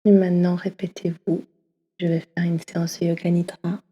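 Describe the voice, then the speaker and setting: A woman leading a guided yoga nidra session, speaking slowly and softly, often slipping into a half-whisper. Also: on the low side, flat delivery, slightly rough. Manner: slow, soft, often half-whispered delivery. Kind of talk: guided meditation. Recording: long reverb as in a hall.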